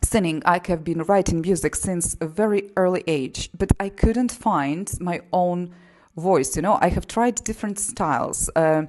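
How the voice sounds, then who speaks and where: Polish-accented woman, chatty and low-voiced. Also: unhurried, darkish tone. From Poland. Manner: frequent fillers like "you know" and "you see". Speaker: singer and GSR practitioner. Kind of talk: casual explanatory monologue.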